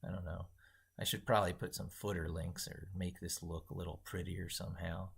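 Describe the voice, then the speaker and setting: Man, very low pitch, gravelly fry, casual livestream host, rambling, emphatic, thinking aloud. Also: little pitch movement, a little fast.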